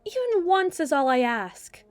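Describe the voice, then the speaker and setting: A woman voicing a regal, icy evil queen in a scripted ASMR roleplay, speaking in formal, theatrical oratory with deliberate emphasis. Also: high voice, very animated, soft background music. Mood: scornful, imperious.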